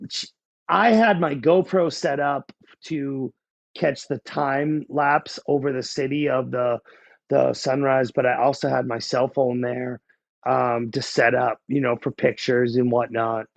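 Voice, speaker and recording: A man's voice: medium pitch, fairly even intonation, a little slow, gravelly, no room sound. A man in his forties with a Boston accent, telling his story in a casual, candid, conversational way. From New England, small-town New Hampshire near the Massachusetts border. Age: 40s. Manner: candid, blunt. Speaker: guest, life coach.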